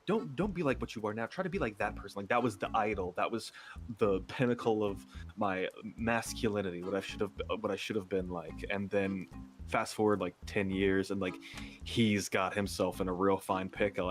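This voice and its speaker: A man's voice: on the low side, moderate pace. A young man chatting casually as a stream guest, telling personal stories in a candid, hesitant, self-deprecating way. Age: young adult. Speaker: ASMR creator and stream guest. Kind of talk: casual chat, personal anecdotes. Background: background music.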